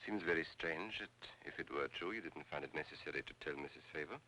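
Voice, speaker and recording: Haughty, very low-voiced medium. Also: male voice, fast talker, sharp tone. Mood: haughty, evasive. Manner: formal, haughty. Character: smooth-mannered, haughty male spiritualist medium, evasive and formal. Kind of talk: 1940s film noir acting. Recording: faintly noisy old film soundtrack.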